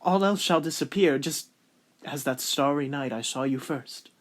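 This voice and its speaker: A man's voice: fairly high pitch, normal speed, lightly acted. A man playing a courteous, devoted young lieutenant who speaks in formal, flowery phrases in an amateur period audio drama. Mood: tender.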